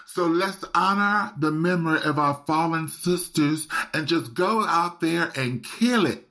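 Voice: mid-range voice, measured pace, bright, forceful tone. An actor playing a sassy, confrontational drag queen contestant in a campy comedic radio play. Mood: rallying.

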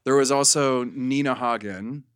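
A man chatting casually as a podcast guest, telling things in a rambling, halting way with lots of filler words. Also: mid-range voice, a bit bright, clean tone, no room sound. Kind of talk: casual chat.

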